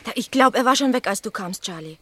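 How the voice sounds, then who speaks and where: An actress playing an observant, caring milk bar assistant in a German radio crime drama, chatty and matter-of-fact. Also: female voice, on the high side, expressive, fast talker, fairly bright tone, no room sound. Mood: eager, precise.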